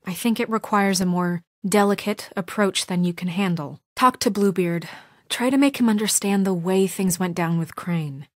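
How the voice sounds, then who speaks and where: A woman voicing a poised, stern young woman in authority in a video game drama, speaking in an earnest, measured, formal way. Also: medium pitch, dry recording, clean studio sound, lightly acted. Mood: exasperated, firm. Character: poised, stern young woman leading a troubled town government.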